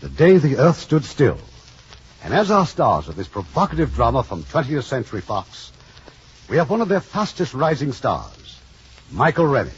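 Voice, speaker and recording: A very raspy man introduces a radio drama as its host, formal and deliberate, with lively pitch. The sound is that of a dull 1950s radio recording. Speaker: host and producer.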